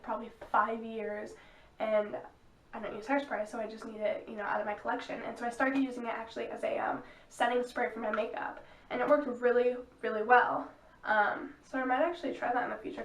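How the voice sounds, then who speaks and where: A woman's voice: a little high, echoey room, distant mic, rough recording. A young woman with a cold, sounding sniffly and stuffy, chatting frankly through a product review vlog. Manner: chatty, frank, drops into asides. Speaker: beauty vlogger. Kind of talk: casual product review chat.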